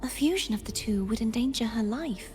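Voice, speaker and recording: Woman playing a solemn, high-voiced young game warrior. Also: animated, a little fast, over music, close to an everyday voice. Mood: serious. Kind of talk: video game voice acting.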